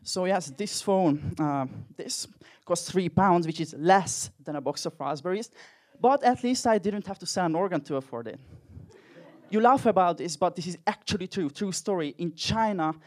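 A man's voice: high-pitched, normal speed, darkish tone. A young man with a Hungarian accent doing a dry, self-mocking stand-up comedy set with crude jokes. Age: young adult.

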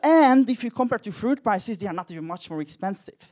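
A man's voice: sits high, animated, brisk, a little mellow. A young man with a Hungarian accent doing a dry, self-mocking stand-up comedy set with crude jokes. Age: young adult.

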